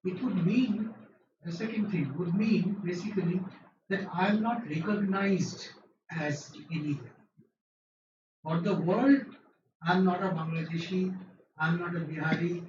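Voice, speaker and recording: A man presenting an academic paper, giving firm, quick answers to questions in a seminar. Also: high voice. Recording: roomy, distant, lo-fi hall recording with noise.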